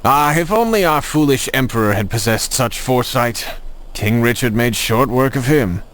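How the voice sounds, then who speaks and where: An actor playing a shrewd resistance ally in a video game, giving instructions in a deliberate, wry manner. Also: male voice, medium pitch, animated, a bit bright, lightly acted. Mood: shrewd, approving. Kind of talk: scripted game cutscene dialogue.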